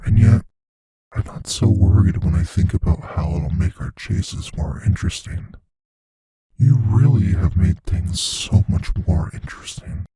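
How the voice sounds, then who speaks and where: An actor voicing a playful, possessive, menacing shape-shifting monster in an intimate audio roleplay, musing slowly in a husky, breathy near-whisper. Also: male voice, sits low, warm tone, a little hiss or hum. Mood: musing, intrigued. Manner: slow, musing, savoring pauses.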